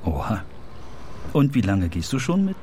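A man's voice: lowish voice, big pitch swings, moderate pace, warm tone, a little hiss or hum. An actor playing a middle-aged policeman in a radio play, questioning a child in a hesitant, colloquial, baffled way. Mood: puzzled.

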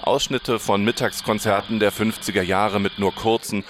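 Low-voiced man narrating a radio report. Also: steady tone, normal speed, fairly bright tone, slight background noise.

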